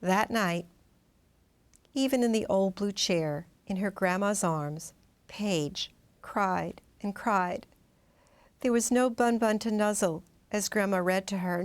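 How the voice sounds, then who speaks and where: A woman reading a children's storybook aloud in expressive, dramatic narration, drawing out some words. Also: mid-range voice. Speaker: storybook reader.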